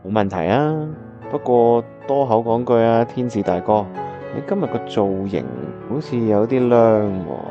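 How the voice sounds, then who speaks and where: A man's voice: mid-range voice, normal speed, muffled recording, music in the background, lightly acted. A man with a Hong Kong Cantonese accent acting an earnest, lovelorn young pianist who narrates his own story in a radio drama. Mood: friendly. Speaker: voice actor, lead and narrator.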